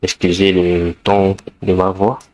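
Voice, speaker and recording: A man's voice: deep voice, little pitch movement, unhurried, a little mellow. A man with the flu, giving a screencast coding lesson in a hesitant way, thinking aloud with many fillers while he types. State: has the flu. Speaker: programming tutor.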